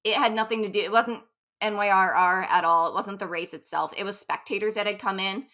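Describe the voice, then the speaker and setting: Bright-voiced woman running coach. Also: mid-range voice. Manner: chatty, upbeat, quick asides with laughs. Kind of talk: casual interview chat.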